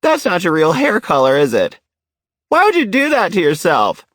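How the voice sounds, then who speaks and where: An American man voicing an overwrought, pushy grieving woman at a funeral, loud and dramatic, in an audiobook. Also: high voice, wildly swooping pitch, moderate pace, no echo, studio quality, pitched above the performer's own voice, full character voice. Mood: blunt, accusing. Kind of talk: audiobook character voice.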